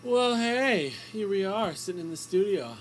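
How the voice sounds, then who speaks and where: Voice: medium pitch, lively intonation, moderate pace, a little hiss or hum, low-quality recording. A young adult co-hosting an amateur comedy radio show, bantering like a mock-professional showman.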